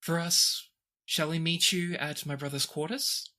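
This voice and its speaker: A formal princess for a tabletop game, acted out by a man, with a bright, clear-cut tone. The delivery is calm and gracious, with stately, measured phrasing. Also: on the high side, voice pushed up. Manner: stately, measured royal diction.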